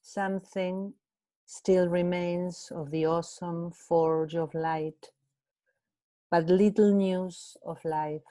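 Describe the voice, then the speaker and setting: Very slow, dark-voiced Argentine woman. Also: fairly low pitch, fairly even intonation, dry recording. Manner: measured, deliberate reading. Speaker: translation prize committee member. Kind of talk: reading poetry aloud.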